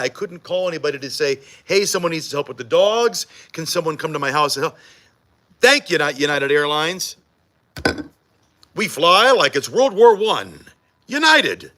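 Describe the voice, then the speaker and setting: A man co-hosts a live morning TV show with a bright, ringing voice that is a little high for a man. He jokes, teases and pokes fun at himself, and his pitch swings widely as he talks. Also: lively intonation, moderate pace. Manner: jokey, teasing, self-mocking. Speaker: morning TV news co-host. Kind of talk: live behind-the-scenes morning show banter. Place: TV studio during a live broadcast.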